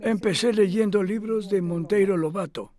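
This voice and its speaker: A male voice actor dubbing an elderly, modest philosophy professor who reminisces in a measured, hesitant way in an interview. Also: high-pitched, darkish tone, close to an everyday voice. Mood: reflective, reminiscing. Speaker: dubbed interviewee. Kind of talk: voice-over dub of a documentary interview.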